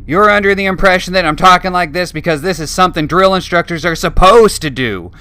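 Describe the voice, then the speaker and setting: A man's voice: high voice, brisk, clear, resonant tone, dry recording, voice pushed up. Man voicing a barking foul-mouthed drill sergeant. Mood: barking, abusive. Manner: shouting, profane, mocking.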